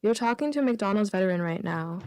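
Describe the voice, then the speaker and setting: A woman's voice: medium pitch, lively intonation, fast, darkish tone, no room sound. Woman guest reading jokes, dry studio sound. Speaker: radio show guest. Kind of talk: reading jokes, casual banter. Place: radio studio.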